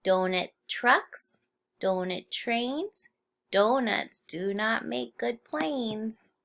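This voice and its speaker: A woman teacher reading a picture book aloud to young children in an expressive storytelling style. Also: fairly high pitch, extremely slow, gravelly.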